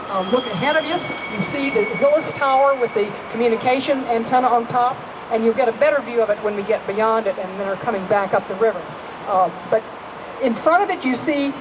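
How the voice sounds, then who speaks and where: A fairly high-voiced woman guides a river boat tour, explaining sights patiently with many "uh" pauses. Her voice comes through a public address speaker, recorded from among the passengers. Heavy engine and outdoor noise sits over everything. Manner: informative, explanatory, with frequent "uh" pauses. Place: open-air tour boat on a city river. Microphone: public address speaker, recorded from the audience. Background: boat engine and river ambience.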